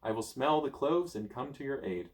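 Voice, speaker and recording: A man voicing a gentle, polite male winged horse while reading a storybook. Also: medium pitch, expressive, moderate pace, natural voice.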